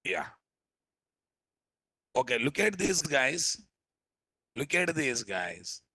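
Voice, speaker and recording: Rough-voiced male instructor. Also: medium pitch, sing-song, very slow, bright, forceful tone, no echo, studio quality. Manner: scolding, teasing, keeps asking class questions. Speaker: programming instructor. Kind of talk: live coding class lecture.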